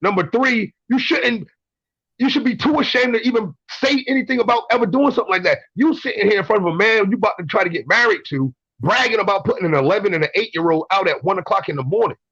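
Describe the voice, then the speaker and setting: A man's voice: sits high, expressive, fast talker, fairly bright tone, slightly rough, dry recording, muffled recording. A man in his twenties calling in to a show, telling blunt stories, swearing and repeating himself. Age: 20s. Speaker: caller. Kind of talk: casual call-in story.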